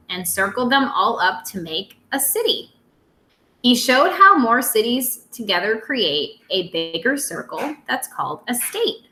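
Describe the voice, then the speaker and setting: A woman teacher reading a picture book aloud to young children in an expressive, simple way. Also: a little high, roomy sound.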